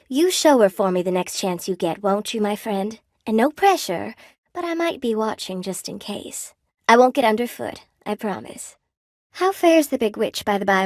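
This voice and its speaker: A woman's voice: on the high side, no room sound, studio quality. An actress voicing a friendly, wry spider weaver woman in a video game, chatty and coy, with a folksy, lightly theatrical delivery. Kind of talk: video game character dialogue. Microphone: close dry studio microphone.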